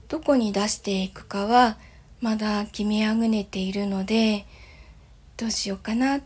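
A woman chatting casually and politely in a soft, breathy voice, with gentle laughs, as the host of a morning audio show. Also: medium pitch. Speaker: voice actor and audio channel host.

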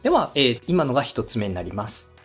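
An animated, slightly husky man hosts a coaching segment on a podcast. He explains things politely and in clear steps, acting out examples, with faint music underneath. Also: mid-range voice, fairly quick. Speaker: radio director and podcast host giving feedback. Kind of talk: structured coaching talk on a podcast.

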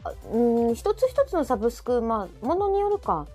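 Mellow, animated woman hosting. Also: fairly high pitch, moderate pace. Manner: attentive, frequent backchannels. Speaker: host of a live audio talk show. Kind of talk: casual chat. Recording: background music under the voice.

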